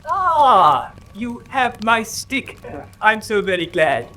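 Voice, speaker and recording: Odd bookish young doctor man, highish and swoopy voice, excitable, super formal, old radio play. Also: slow pace, full character voice. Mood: eager, pleased. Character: eccentric, eager, bookish young country doctor. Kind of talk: classic British radio drama acting.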